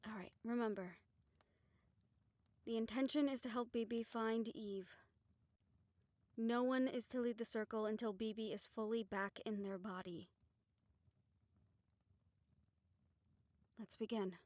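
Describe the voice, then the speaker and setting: A woman playing a calm, reassuring witch who leads a ritual in an audio roleplay, steady and encouraging as she gives instructions. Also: a little high, normal speed, pauses often, dry recording, lightly acted. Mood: gently commanding. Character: calm, reassuring female witch leading a soul-bond ritual.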